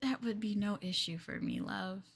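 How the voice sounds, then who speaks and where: A woman playing a clingy, lovestruck yandere girlfriend in an ASMR roleplay, soft, coy and doting, with a hesitant, flustered way of talking. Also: medium pitch, steady tone, normal speed, a little hiss or hum, close to an everyday voice. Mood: doting, sweet.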